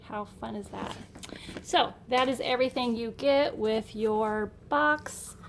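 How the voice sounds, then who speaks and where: Upbeat, higher-voiced woman chatting casually. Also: takes it slowly, a little hiss or hum. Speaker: homeschool vlogger. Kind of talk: casual unboxing narration. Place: indoor room at home.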